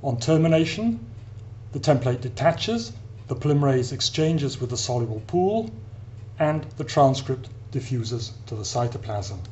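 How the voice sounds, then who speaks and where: A man giving an explanatory science narration as an academic lecturer, in a measured, deliberate manner with pauses between phrases. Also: medium pitch, animated, darkish tone, dull audio. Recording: clear background hiss and hum.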